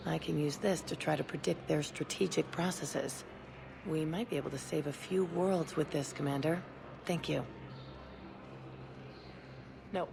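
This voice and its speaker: A woman's voice: sits low, vocal fry. A woman playing a focused, decisive military strategist in a video game. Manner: intent, decisive. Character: focused, insistent military strategist in a space war. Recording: noisy background ambience.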